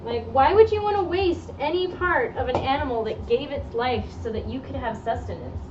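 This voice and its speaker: A young woman with a fairly high voice talks casually while she works at a sewing table, chatty and opinionated, laughing now and then. She sits back from the mic, so her voice sounds distant and dull with a steady hiss behind it. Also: normal speed. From Argentine heritage. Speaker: streamer. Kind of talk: casual chat while sewing on a live stream. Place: indoor sewing workroom.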